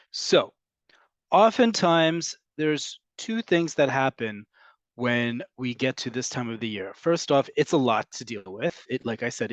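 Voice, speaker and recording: A man's voice: mid-range voice, no echo. Man from north america, online class teacher, conversational and explanatory, dry close sound. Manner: conversational, explanatory, frequent ums. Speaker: Hebrew teacher. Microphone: video call.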